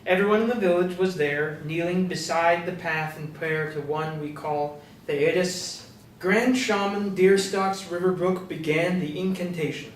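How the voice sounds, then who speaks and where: A man's voice: sits high, measured pace. A man playing a naive, frightened young villager cast out of a cult, telling his story earnestly in a staged play reading. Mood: troubled recounting. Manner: earnest storyteller, stammering when pleading. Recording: roomy, distant stage recording with noise.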